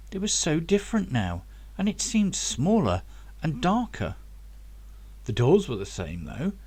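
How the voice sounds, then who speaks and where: Guy reading his sci-fi novel out loud, very up and down delivery, kinda high voice. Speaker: author reading own novel. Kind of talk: scripted audiobook narration.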